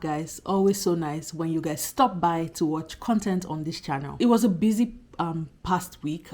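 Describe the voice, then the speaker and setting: A woman in her thirties talks to her subscribers in a casual, heartfelt vlog. Her voice sits a little low and sounds slightly husky and croaky, as if she is just getting over a cold. She leans on emphatic repetition and keeps the tone earnest and chatty. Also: a little mellow. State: recovering from a cold. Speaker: YouTuber talking to subscribers. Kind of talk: casual reflective vlog monologue.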